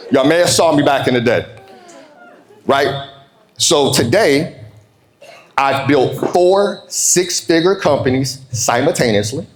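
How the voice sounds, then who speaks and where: An American man in his forties giving a motivational keynote, telling stories emphatically with dramatic pauses and colloquial call-outs to his audience. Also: medium pitch, echoey room, rough recording. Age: 40s. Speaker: keynote speaker, business coach.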